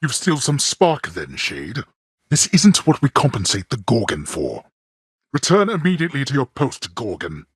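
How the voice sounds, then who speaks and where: An actor playing a stern, ancient god-king of the underworld in a video game, a bitter father who speaks with formal, imperious, measured disdain in a deep, gravelly voice. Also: wildly swooping pitch, no room sound, clean studio sound. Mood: reproving. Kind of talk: theatrical video game voice acting.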